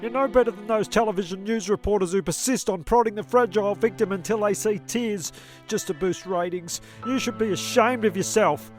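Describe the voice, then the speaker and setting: A man's voice: sits high, a little fast, soft background music, natural voice. A man playing a melodramatic, self-pitying castaway in a comedy audio drama, histrionic and indignant. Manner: histrionic, indignant, over-sharing.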